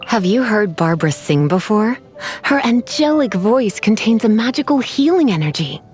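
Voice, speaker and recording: A woman's voice: medium pitch, very animated, darkish tone, faint music behind, close to an everyday voice. Sultry, teasing sorceress, played by a woman, lilting voice. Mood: playful, coy. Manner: sultry, teasing, unhurried, coy. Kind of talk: video game character voice lines.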